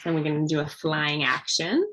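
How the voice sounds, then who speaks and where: Woman, slightly low pitch, children's author in an informal read-along, encouraging delivery, some noise on the recording. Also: fairly even intonation, moderate pace, a bit bright, poor audio. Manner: encouraging, explanatory toward a child. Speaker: children's author and illustrator, presenter. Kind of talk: informal story presentation with a child.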